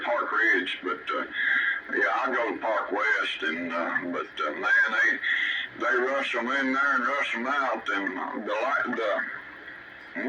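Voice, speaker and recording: A man with a Tennessee Southern accent talking casually over CB radio in a folksy, halting, repetitive way. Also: mid-range voice. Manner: folksy, halting, repeats himself. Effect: two-way CB radio transmission. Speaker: CB radio operator. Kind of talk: casual radio chat, rambling storytelling. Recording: distant, very noisy CB radio signal.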